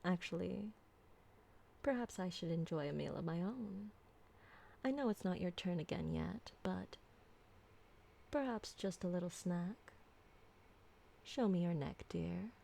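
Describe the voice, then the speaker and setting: A woman playing an ancient, refined and doting female vampire in an intimate ASMR roleplay, soft-spoken and tender, with genteel, formal wording. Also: fairly low pitch, expressive, measured pace, vocal fry, long pauses, full character voice. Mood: hungry, coaxing.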